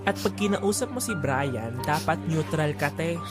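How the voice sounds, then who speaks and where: A man acts out a campy young guy, the witty best friend in an audio drama. His delivery is slangy, teasing and frank. Loud music sits under his voice. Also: on the high side, lively intonation, moderate pace, close to an everyday voice. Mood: advising. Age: young adult. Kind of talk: casual conversational audio drama acting.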